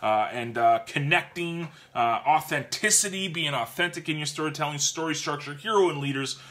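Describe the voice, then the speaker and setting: Man in his thirties, bright voice, casual webcam book review, lively gestures, home office. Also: a little high, normal speed. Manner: animated, gestures a lot, repeats words while thinking. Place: home office with bookshelves, indoors. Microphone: webcam at desk.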